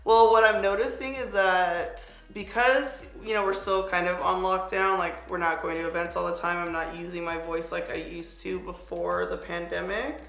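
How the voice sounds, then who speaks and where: A chatty young woman with a slightly raspy voice, talking through a makeup tutorial at home and filling her sentences with "like.". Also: fairly low pitch, level tone, moderate pace, hardly any pauses, a bit bright. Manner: chatty, lots of "like" fillers, upbeat product asides. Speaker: beauty vlogger. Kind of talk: casual makeup tutorial chat. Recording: echoey room with faint music.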